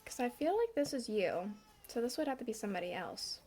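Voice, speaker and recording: A woman's voice: on the high side, a little fast, slight background noise. Congested woman reading tarot. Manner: relaxed, chatty, many fillers. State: congested, slight cough; a bit winded. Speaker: tarot reader.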